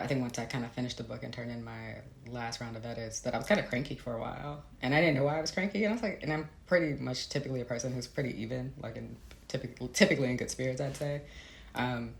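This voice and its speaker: An American woman with a very deep voice and a gravelly fry, speaking as an author in an interview. She is informal and reflective, feeling her way through her thoughts in a casual chat style. The room echoes noticeably around her. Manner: informal, hesitant, laughs often, frequent "like" and "you know". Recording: roomy echo, faint background noise.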